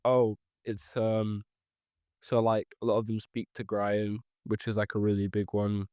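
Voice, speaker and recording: Young male podcast guest, low-ish voice, halting casual explainer, dry sound. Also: completely flat delivery, warm tone, smooth, dry recording. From Ethiopia and Eritrea. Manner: hesitant, many ums and restarts.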